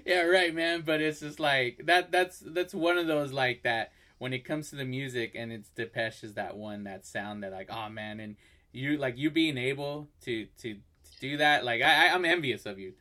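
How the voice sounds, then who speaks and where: A man hosting a podcast, speaking in a halting, admiring way with lots of fillers. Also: mid-range voice, sharp tone. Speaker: podcast host. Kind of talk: casual podcast interview.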